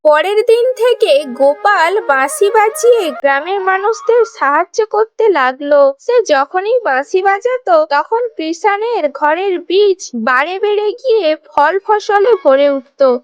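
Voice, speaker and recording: A woman's voice: very high-pitched, lively intonation, unhurried, few pauses, a little hiss or hum. A woman telling a children's fairy tale as scripted cartoon narration. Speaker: storyteller. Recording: music bed behind the voice.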